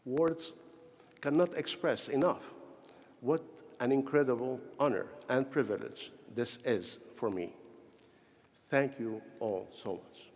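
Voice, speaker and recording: A middle-aged or older man from Syria with a Syrian Arabic accent gives a formal, prepared ceremonial speech in measured, deliberate short phrases. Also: mid-range voice, a little mellow. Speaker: honorary doctorate recipient giving convocation address. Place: large convocation hall, speaking to graduates. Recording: echoey large hall with long reverb.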